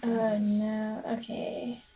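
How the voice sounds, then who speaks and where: A woman's voice: mid-range voice, flat delivery, very slow, warm tone, husky, muffled recording. A woman playing a tabletop roleplaying game, chatting casually and hesitantly as she thinks aloud.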